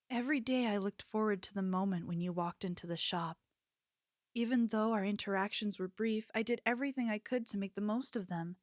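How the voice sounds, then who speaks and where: A woman's voice: medium pitch, no room sound, studio quality, close to an everyday voice. A woman playing an obsessive, possessive convenience store cashier in an ASMR roleplay, pleading and unsettlingly sweet. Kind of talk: ASMR roleplay, scripted character acting.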